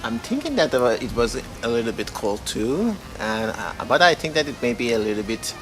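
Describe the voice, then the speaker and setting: A man's voice: mid-range voice, sing-song, moderate pace, over music, poor audio. A young man from Iran, a student answering hesitantly and searching for words. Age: young adult. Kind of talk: casual interview answers.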